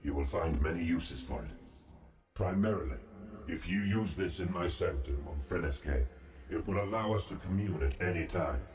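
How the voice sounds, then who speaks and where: An actor playing a calm, solemn ancient god in a fantasy game, speaking in a formal, measured and imperious way. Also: male voice, deep voice. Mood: solemn, assured. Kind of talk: scripted fantasy game dialogue. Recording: roomy, distant, music behind.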